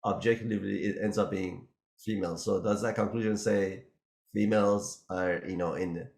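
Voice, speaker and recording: A man's voice: on the low side, steady tone, normal speed, creaky, a little mellow, reverberant. A man hosting a casual business podcast, rambling a little and laughing as he talks. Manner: rambling, laughs while talking. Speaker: podcast host, business owner.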